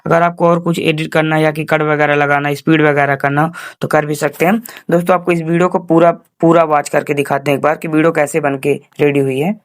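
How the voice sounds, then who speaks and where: A man's voice: fairly high pitch, flat delivery, fairly quick, few pauses, dry recording, studio quality. A man hosting an informal step-by-step screen tutorial, addressing viewers as friends with repetitive instructional phrasing. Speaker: tutorial host.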